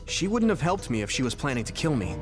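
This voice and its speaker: A man voicing an earnest young soldier hero in an anime-style game cutscene, quick to worry. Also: medium pitch, sing-song, natural voice. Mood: earnest, puzzled. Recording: music behind the voice.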